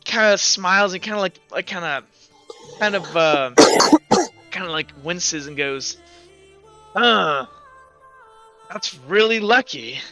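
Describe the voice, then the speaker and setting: A man narrating a tabletop roleplay as game master, improvising haltingly and repeating his phrases as he goes. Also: high-pitched, sharp tone, faint music behind.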